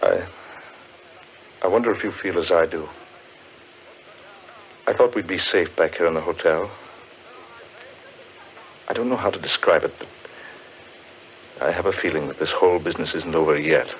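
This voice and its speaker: An actor playing an anxious, haunted gentleman in earnest, theatrical 1940s radio drama style. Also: sits low, normal speed, long pauses, natural voice. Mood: uneasy. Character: anxious, haunted gentleman survivor of a cursed forest. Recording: dull, faintly noisy 1940s radio recording.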